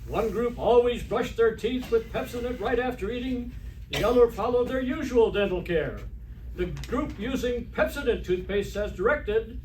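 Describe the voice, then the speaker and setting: Man playing a high-pitched old-time radio pitchman. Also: far from the mic. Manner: declarative, salesmanlike. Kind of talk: scripted 1950s-style radio commercial. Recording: roomy stage recording from afar, hiss.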